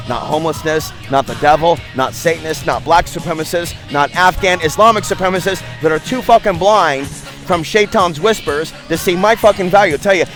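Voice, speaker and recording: A man delivering an impassioned, preachy monologue as an online video host, with a confrontational, grandiose and profane manner. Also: a little high.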